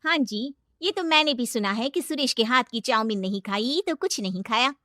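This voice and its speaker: A woman puts on a greedy village wife from a moral tale. She is eager, fawning and agreeable, with a very high, sharp bright voice. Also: lively intonation, moderate pace, no room sound, studio quality. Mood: curious. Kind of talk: animated moral-story character dialogue.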